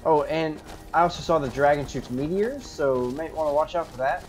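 A man's voice: a little high, brisk, slight background noise, rough recording. A man giving in-character gameplay commentary as he roleplays an adventurer in a video game. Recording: voice over game music. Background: video game music.

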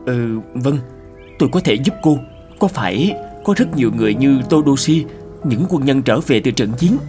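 A man voicing a polite, earnest young inventor in an animated cartoon. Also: medium pitch, normal speed, music in the background, close to an everyday voice. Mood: sympathetic.

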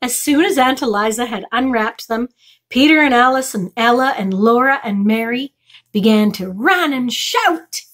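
A woman's voice: on the high side, lively intonation, normal speed, a bit bright. A middle-aged woman reading a children's chapter book aloud as a storytime reader. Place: small furnished room indoors.

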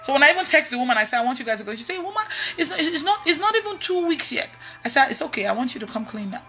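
A woman in her thirties with a Nigerian accent, preaching casually online in an animated, emphatic way and repeating phrases. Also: sits high, very fast. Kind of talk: casual testimony-style preaching on a livestream. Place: indoors in a room at home.

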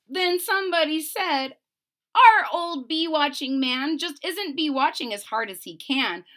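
A woman's voice: high voice, pushed and bright. A woman in her forties reading a children's picture book aloud with emphatic, sing-song delivery and casual asides. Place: small furnished room at home.

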